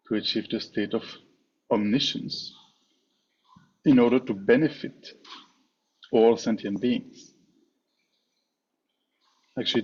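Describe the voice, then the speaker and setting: A man teaching a guided meditation in a measured way, pausing often between short phrases. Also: on the low side. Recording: dull, muffled online call with clear background noise.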